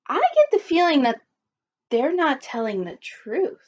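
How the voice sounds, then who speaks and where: A woman teacher reading a children's story aloud expressively and pausing to put questions to her listeners. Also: sits high, huge pitch swings, darkish tone. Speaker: primary school teacher. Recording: dull lo-fi recording.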